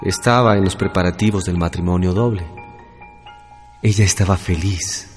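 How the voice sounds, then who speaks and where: A man's voice: low voice, moderate pace, long reverb tail, over music, natural voice. A man playing an earnest, passionate young teacher in a radio drama. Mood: reflective narration. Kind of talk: Spanish-language radio drama acting.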